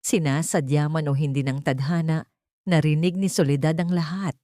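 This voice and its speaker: Female narrator for dramatic fiction audio story, lower register, very dry recording. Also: steady tone, normal speed, mellow, dry recording.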